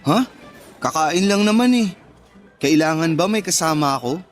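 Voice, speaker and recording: Man acting a high-voiced, bashful young office worker. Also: expressive, normal speed, faint music behind, natural voice. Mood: bashful, surprised. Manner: bashful, self-deprecating, casual. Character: shy, modest introverted young male office worker, twenty-one. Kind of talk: Tagalog radio drama dialogue.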